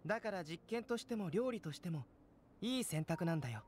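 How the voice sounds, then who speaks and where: An actor playing a calm, curious young male alchemist in an anime video game, speaking in a measured, polite, analytical way. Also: sits high, heavy background noise.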